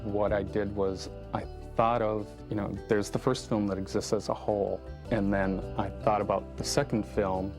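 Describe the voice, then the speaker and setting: A man talks thoughtfully in a documentary interview as a film director. His voice is fairly low and stays even, with emphatic repeats, over clearly audible music. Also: normal speed, few pauses. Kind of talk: documentary interview, reflective explanation.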